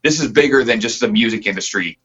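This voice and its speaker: A man's voice: fairly low pitch, quick pace, a bit bright, slightly rough, lo-fi sound. A man from the Pacific Northwest, a band member, chatting as a guest in a loose, rambling, earnest way. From Pacific Northwest, near Tacoma. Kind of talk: casual interview chat.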